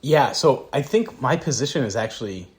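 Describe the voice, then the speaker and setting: A man co-hosting a podcast in casual conversation, relaxed and quick to laugh. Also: medium pitch. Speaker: podcast co-host.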